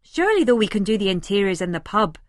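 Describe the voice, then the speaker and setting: A woman's voice: medium pitch, very animated, fast talker, no echo, clean studio sound, close to an everyday voice. A woman voicing a pompous, scheming young man who directs amateur films in an audiobook. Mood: argumentative.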